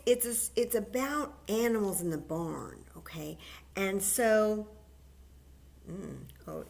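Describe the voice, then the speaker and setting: Woman, children's librarian; slow, lower voice, gentle and encouraging with little ones. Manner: gentle, encouraging, chatty, singing simple tunes. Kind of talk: story time for kids with sung songs.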